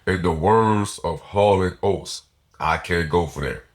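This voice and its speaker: A man's voice: low voice, unhurried. A man hosting a motivational podcast, sounding nasal and foggy from a cold, speaking with earnest emphasis. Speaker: podcast host.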